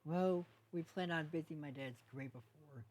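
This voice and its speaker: A woman's voice: low voice, moderate pace, a little mellow. Young mare voiced by a woman reader, friendly and earnest, natural chatty delivery for a storybook. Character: friendly, loyal, upbeat young female pony.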